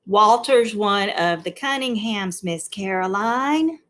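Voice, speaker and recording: A woman's voice: mid-range voice, expressive, takes it slowly, fairly bright tone. A woman reading the part of a frank, earnest young schoolgirl in a storybook. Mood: earnest explaining.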